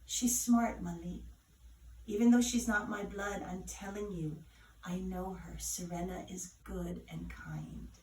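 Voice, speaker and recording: A woman voicing a calm, kindly godmother in a story, speaking with gentle reassurance. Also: fairly low pitch, a little slow, slight background noise. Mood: reassuring. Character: calm, kindly godmother defending a young girl. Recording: roomy echo, distant from mic.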